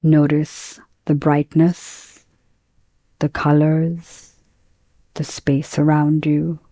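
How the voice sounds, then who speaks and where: A woman teaching guided meditation, speaking in a calm, gentle, measured way with pauses between phrases. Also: sits low, no echo.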